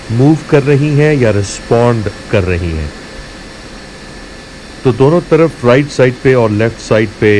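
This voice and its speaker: Slow-paced Pakistani man giving a tutorial, background hum. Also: on the low side, rough recording. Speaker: flight simulator tutorial presenter. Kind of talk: casual instructional narration mixing explanation and radio phraseology. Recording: steady clear background hum and noise.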